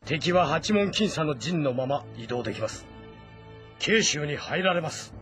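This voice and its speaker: A man playing a shrewd, composed ancient Chinese military strategist in a game drama, speaking formally and in measured explanations. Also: a little high, animated, a bit bright, faint music behind. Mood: resolute. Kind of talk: dramatic audio-novel acting in a video game.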